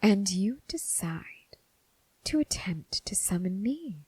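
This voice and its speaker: A woman voicing a bored, mocking female demon in an ASMR roleplay, half-whispering in a languid, condescending way with a husky, creaky voice. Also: mid-range voice, big pitch swings, a little mellow, no room sound, heavily acted. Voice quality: breathy, husky, with creaky fry. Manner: languid, condescending, drawn-out phrasing with sighs.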